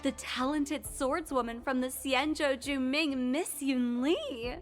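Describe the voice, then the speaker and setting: A woman's voice: sits high, slow, faint music behind. A woman voicing an eager, gossipy young journalist in a video game, polite and effusive.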